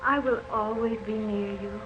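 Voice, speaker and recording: An actress playing the gentle, devoted ghost of a young woman, tender and solemn in 1940s radio style. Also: medium pitch, steady tone, normal speed, background noise, lightly acted. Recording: muffled vintage radio recording with music.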